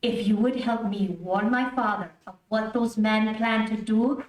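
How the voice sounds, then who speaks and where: A woman's voice: fairly high pitch, steady tone, unhurried, lightly acted. A woman playing a haughty young captive princess in an old radio serial. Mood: scheming. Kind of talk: 1930s radio serial acting. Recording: echoey room, distant microphone.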